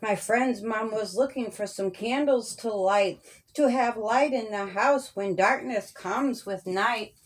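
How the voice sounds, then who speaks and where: A woman with a fairly high voice reads a children's story in rhyme. Her delivery is very slow and follows the verse in a sing-song rhythm. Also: poor audio. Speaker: author reading her own story. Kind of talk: children's story read aloud in rhyming verse.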